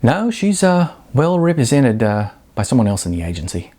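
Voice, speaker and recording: A male narrator voicing a smooth-talking, evasive talent agent who hedges with fillers, in a husky voice. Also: mid-range voice, very animated, moderate pace, dark tone. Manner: glib, hesitant with fillers.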